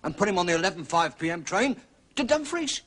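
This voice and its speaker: Husky, deferential manservant. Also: male voice, high-pitched, very animated, fairly bright tone, exaggerated voice. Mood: tactfully correcting. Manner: formal, measured, tactful. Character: discreet, deferential, unflappable English manservant. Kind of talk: radio drama acting.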